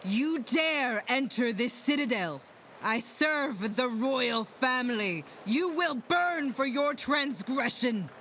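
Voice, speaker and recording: Defiant royal defender, fantasy game; strained high pitch, lively swings, threatening, rain behind. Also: male voice, slow, slight background noise, exaggerated voice. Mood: furious. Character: fierce, loyal defender of a royal family. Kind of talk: fantasy game battle acting.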